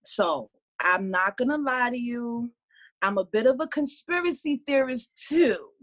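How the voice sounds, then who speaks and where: A woman's voice: on the high side, animated, unhurried. A woman from Harlem, chatting casually as a podcast guest and laughing often. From Harlem, New York. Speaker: guest, sketch artist.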